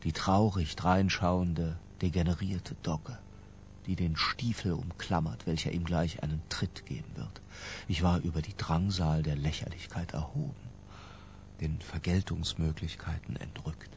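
An actor playing a scholarly, self-dramatizing man who narrates a radio drama in an ornate, deliberate, confessional manner. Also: low voice, fairly even intonation, a little hiss or hum. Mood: brooding, intense. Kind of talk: literary first-person radio drama narration.

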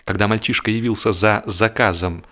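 Low-voiced man narrating humorous fiction. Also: steady tone, normal speed, bright, forceful tone. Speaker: audiobook narrator. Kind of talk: expressive scripted narration of a humorous novel.

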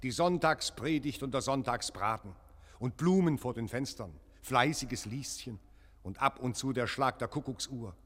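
A man's voice: mid-range voice, normal speed, fairly bright tone, natural voice. An actor playing a troubled, earnest visiting clergyman in a radio play, speaking in solemn, insistent short phrases. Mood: brooding, anguished. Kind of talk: German radio play acting. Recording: long echoing church-like reverb.

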